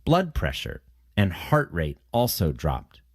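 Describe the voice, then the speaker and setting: A man reading short practice passages aloud as scripted narration, with careful, measured enunciation. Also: sits low, huge pitch swings, no echo, clean studio sound.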